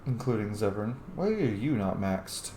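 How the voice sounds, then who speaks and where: A man's voice: on the low side, big pitch swings, moderate pace, dark tone. A man giving casual commentary over a game playthrough, chatty and thinking aloud. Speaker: gaming host. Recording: roomy echo, faint game noise.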